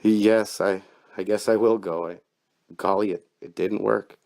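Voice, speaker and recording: A man voicing a hapless, exasperated husband in a horror comic drama, with a nervous, excitable delivery. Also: on the low side, unhurried, creaky. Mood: bewildered. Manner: stammering, whiny, excitable.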